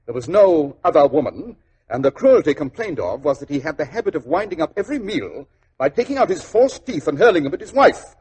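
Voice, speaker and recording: An actor playing a self-assured, philosophical detective with a precise, wry manner, in 1940s radio drama style. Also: male voice, fairly high pitch, very animated, brisk, darkish tone, husky, low-quality recording. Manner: incisive, precise, pedantic. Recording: old 1940s radio recording.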